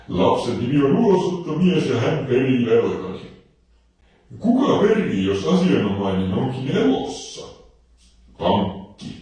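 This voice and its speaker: An actor playing a crude, bitter dance-band singer in a video game, telling deadpan, sardonic jokes through a reverberant stage microphone. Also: male voice, medium pitch. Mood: dry, mocking. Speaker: dance pavilion lead singer. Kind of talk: stage banter with deadpan one-liner jokes. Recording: heavy microphone reverb, distant from mic.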